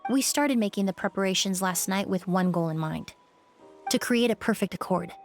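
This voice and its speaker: An actress playing a composed, clinical woman perfumer in a video game, speaking in a measured, matter-of-fact way. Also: mid-range voice, faint music behind, lightly acted. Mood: clinical, calm. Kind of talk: scripted video game voice acting.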